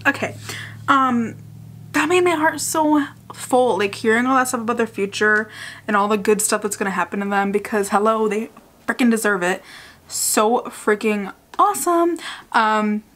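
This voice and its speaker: A woman's voice: a little high, normal speed, a bit bright. A young woman hosting a reaction video, gushing and bubbly in casual commentary, swearing now and then.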